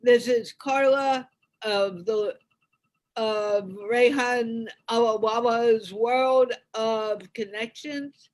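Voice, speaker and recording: A woman hosting a casual live online interview with a halting delivery. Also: fairly high pitch, fairly even intonation, poor audio. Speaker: host of online interview show.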